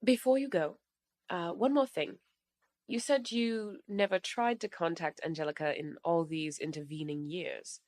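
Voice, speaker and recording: An actress playing a courteous, upbeat interviewer in an audio drama, speaking in a polite, measured way. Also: female voice, fairly low pitch, a little mellow. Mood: courteous, encouraging. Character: courteous, upbeat female interviewer arranging a reunion.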